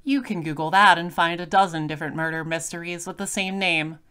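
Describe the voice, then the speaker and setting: A woman's voice: lowish voice, brisk, a bit bright, clear, resonant tone, close to an everyday voice. A woman playing a composed, droll space detective, narrating an audio drama in a dry, matter-of-fact way.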